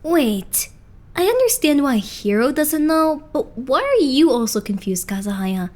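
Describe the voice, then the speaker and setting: A woman acting an excitable, cheeky teenage boy idol in an anime-style dub, dramatic and exclamatory. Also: high voice.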